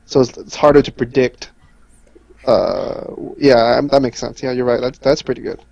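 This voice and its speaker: A man's voice: mid-range voice, moderate pace, gravelly, a little mellow, no room sound, dull audio. A man in a casual gaming discussion, inquisitive and checking what others mean.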